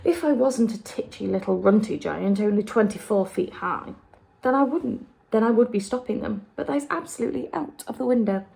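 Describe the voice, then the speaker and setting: A woman's voice: mid-range voice, fairly quick, gravelly, darkish tone, slightly rough, rough recording. A woman voicing a big, kindly male giant who talks in mangled grammar and made-up words. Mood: earnest. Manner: ungrammatical, invented words.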